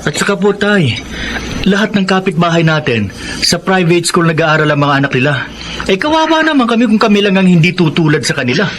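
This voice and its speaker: A man's voice: high voice, lively intonation, fairly quick, fairly bright tone, a little hiss or hum. A man acting a worried young student son in a radio drama, with a Filipino accent. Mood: pleading. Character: worried, pleading student son, elder brother.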